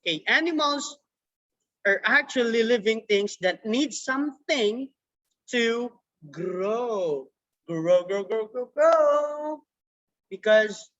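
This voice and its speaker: A woman teaching a young children's science class over a video call, repeating words slowly for the kids. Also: mid-range voice, a bit bright. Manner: slow, repetitive, drawn-out words for children. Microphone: computer mic on a video call. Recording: dull lo-fi video call audio.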